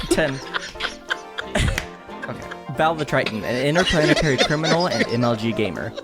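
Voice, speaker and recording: A woman's voice: a bass voice, animated, unhurried, pauses often. A woman giving an informal podcast promo as one of the cast. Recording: loud music bed under voice. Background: loud music.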